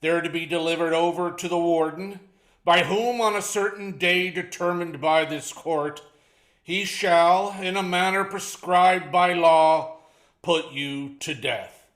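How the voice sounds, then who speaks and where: A man's voice: fairly high pitch, measured pace, fairly bright tone. Man as a stern, echoey radio judge. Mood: solemn. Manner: formal, declamatory. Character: stern, solemn male judge passing sentence. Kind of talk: old-time radio courtroom drama acting. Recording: echoey room sound.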